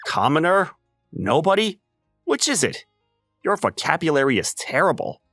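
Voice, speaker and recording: A man voicing an unbothered, sardonic high school underdog in an anime-style comic dub, with a dry, mocking delivery. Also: sing-song, moderate pace, creaky, a bit bright, no room sound, faint music behind, exaggerated voice. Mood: mocking deadpan.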